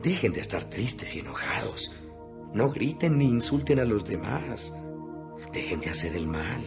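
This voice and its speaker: A man's voice: fairly low pitch, sing-song, unhurried. A man giving an earnest, instructive dramatized reading of scripture as an audio Bible narrator. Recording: music behind the voice. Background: background music.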